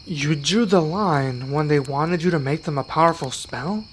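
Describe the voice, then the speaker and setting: Man voicing an earnest, higher-pitched young werewolf. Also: normal speed, slight background noise, natural voice. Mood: shocked, indignant. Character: young male werewolf, earnest, gentle, protective of his pack. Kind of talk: ASMR roleplay acting.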